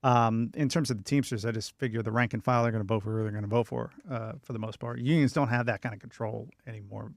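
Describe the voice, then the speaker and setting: A man hosting a radio show, giving casual news commentary in a conversational, halting way with repeated words, close on a studio mic. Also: mid-range voice, fairly even intonation, creaky, no echo. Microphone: close studio microphone.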